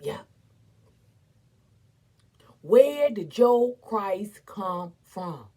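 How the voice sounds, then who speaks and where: A woman giving a dramatic, theatrical recitation as an impassioned, defiant, weary but proud orator, with emphatic repetition and long pauses. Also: mid-range voice, expressive, warm tone. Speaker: performer reciting a historical speech.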